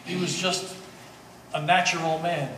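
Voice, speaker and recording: A man's voice: a little high, flat delivery, fairly bright tone. Man playing an earnest, plain-spoken man, echoey hall. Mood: reproachful. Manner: halting, with repeated words and trailing starts. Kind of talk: one-act stage play performance. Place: art gallery, live staged reading. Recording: echoey gallery hall, distant mic, hiss.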